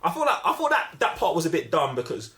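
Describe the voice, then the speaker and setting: A man's voice: a little high, huge pitch swings, fast, pushed and bright. A young man with a London accent chatting casually about films in heavy slang, opinionated and emphatic, often repeating himself for effect. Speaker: movie review podcast host.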